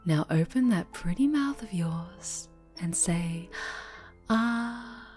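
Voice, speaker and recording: Woman acting a slow, possessive dark-angel superheroine. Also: medium pitch, animated, soft background music. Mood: sweetly threatening. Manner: slow, cooing, alternates breathy whispering with sweet menace. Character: possessive, sinister female superhero, dark angel, obsessively doting. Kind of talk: ASMR roleplay, intimate scripted acting.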